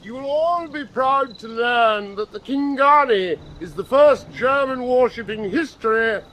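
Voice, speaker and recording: A man's voice: very high-pitched, lively intonation, unhurried, a bit bright, slight background noise, exaggerated voice, rough recording. An actor playing a pompous English naval commander, formally addressing his men in a radio drama. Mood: proud, pompous.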